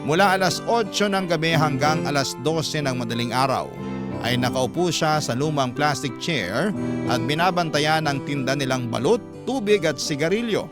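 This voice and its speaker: A man's voice: a little high, lively intonation, normal speed. A man with a Filipino accent reading a scripted first-person story in a classic radio narration style. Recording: loud guitar music under narration. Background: plucked guitar music.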